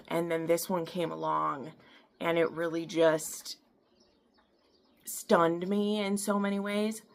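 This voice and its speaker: A woman's voice: on the low side, creaky. A young woman with a Minnesotan accent, recommending romance books from home in a rambling, chatty way. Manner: chatty and rambling, easily sidetracked. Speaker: book reviewer. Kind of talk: casual chat. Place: home, by a window.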